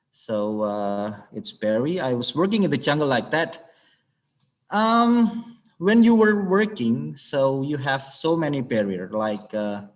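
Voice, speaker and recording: A man giving a webinar talk as an English trainer, halting and searching for words as he goes over his slides. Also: on the high side. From Indonesia. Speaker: alumni guest speaker, English trainer.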